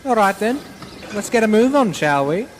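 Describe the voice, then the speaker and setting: A man's voice: high-pitched, big pitch swings, moderate pace, noisy background. A man voicing a vain, impatient steam engine who complains in a haughty manner. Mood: grudging.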